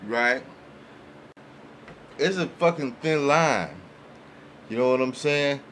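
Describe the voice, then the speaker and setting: A man's voice: mid-range voice, slow, a bit bright. Man chatting casually over room noise. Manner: colloquial, often checking in with listeners. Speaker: podcast panelist. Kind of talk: casual panel chat. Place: small indoor podcast room. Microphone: boom-arm studio microphone.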